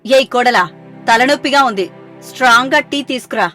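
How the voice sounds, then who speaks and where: A woman voicing an angry, commanding middle-aged mother-in-law in an animated family story. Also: on the high side, normal speed, soft background music. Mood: irritated, commanding. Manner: commanding, irritable.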